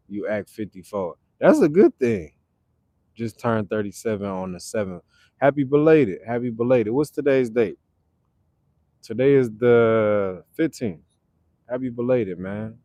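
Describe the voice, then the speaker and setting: A man's voice: medium pitch, a little mellow, no echo. Laid-back man chatting on a livestream. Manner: laid-back, repeats phrases, reads chat aloud. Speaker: livestream host. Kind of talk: casual live chat with viewers.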